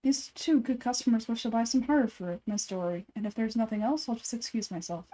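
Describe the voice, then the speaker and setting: A woman voicing a wary, polite shady vendor in a video game, with measured customer-service patter. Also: on the high side, dark tone, lightly acted, poor audio. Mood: deferential.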